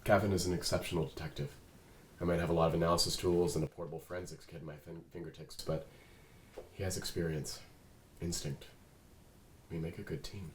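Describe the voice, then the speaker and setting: A man playing a calm, articulate android detective, proud and loyal, reading in a precise, measured way at a script rehearsal. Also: low-pitched, reverberant, natural voice. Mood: composed, earnest. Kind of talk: table read of a fan film script. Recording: noisy room recording.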